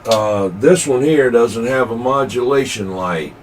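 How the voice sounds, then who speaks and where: A man chatting casually over CB radio in a folksy, halting way, searching for his words. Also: medium pitch. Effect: CB radio transmission. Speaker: CB radio operator. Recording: distant, slightly noisy CB radio transmission.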